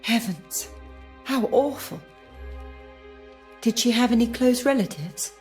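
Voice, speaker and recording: Busy, brusque reporter. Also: female voice, fairly high pitch, slow pace, a little mellow, soft background music. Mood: brusque, concerned. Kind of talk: scripted game dialogue.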